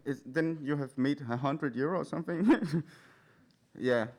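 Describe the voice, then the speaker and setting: A man from Denmark with a Danish accent, giving an informal, anecdotal talk in a nervous, hesitant, halting and giggly way. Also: on the high side, a little mellow. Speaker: speaker at a live event. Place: in front of a large live audience.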